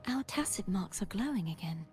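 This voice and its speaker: A woman's voice: medium pitch, expressive, normal speed, a little mellow, natural voice. A woman playing a mysterious, solemn young maiden in a video game, speaking in a formal, questioning way. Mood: solemn, wondering.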